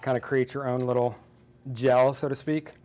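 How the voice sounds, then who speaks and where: A man's voice: medium pitch, flat delivery, normal speed, dark tone, a little hiss or hum. A man in his forties hosting a baking show, chatting and teasing his guest as he bakes. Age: 40s. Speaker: cooking show host. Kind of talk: casual chat while baking.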